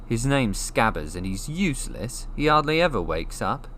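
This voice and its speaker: A man acts out a young boy wizard from a storybook. He grumbles and runs himself down, yet stays animated, with big swings in pitch. A clear hiss of noise sits in the recording. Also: moderate pace. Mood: glum, embarrassed. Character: young boy wizard, glum, self-deprecating, eager to impress.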